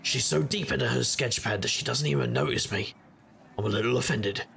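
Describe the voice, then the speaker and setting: An actor playing a wry, sardonic supernatural private investigator who narrates in conversational noir style. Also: male voice, mid-range voice, brisk, creaky, fairly bright tone. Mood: wry, reflective. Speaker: narrator and lead character. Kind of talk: noir first-person scripted narration.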